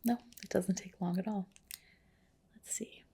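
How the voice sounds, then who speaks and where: A woman whispering softly and breathily in an ASMR roleplay as a spa skin specialist, gently explaining and reassuring. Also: medium pitch, steady tone, unhurried, slightly rough. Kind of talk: ASMR roleplay, personal attention.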